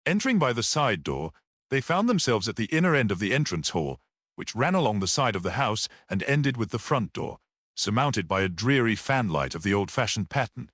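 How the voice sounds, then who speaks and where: Male audiobook narrator, low pitch, very animated intonation, measured literary delivery, dry close sound. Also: no room sound. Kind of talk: scripted narration of a gothic mystery story.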